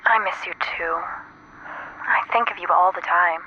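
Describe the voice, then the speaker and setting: A wistful woman in love, bright small voice thru an old radio filter, crackly static. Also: fairly low pitch, moderate pace, lo-fi sound. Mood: tender, wistful. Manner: tender, wistful. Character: tender, wistful woman in love, speaking from another universe. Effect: old phonograph or radio filter with static. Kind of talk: retro science fiction audio drama acting. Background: static noise.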